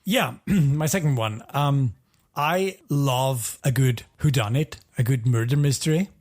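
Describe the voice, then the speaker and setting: A man's voice: medium pitch, measured pace, darkish tone. A man co-hosting a podcast in a casual, conversational style. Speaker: podcast co-host. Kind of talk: casual chat.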